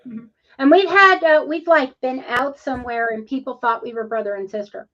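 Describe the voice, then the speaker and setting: A woman's voice: high-pitched, normal speed, fairly bright tone, lo-fi sound. A woman co-hosting a casual interview, chatty and rambling, with many ums. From Ohio, USA. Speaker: podcast co-host.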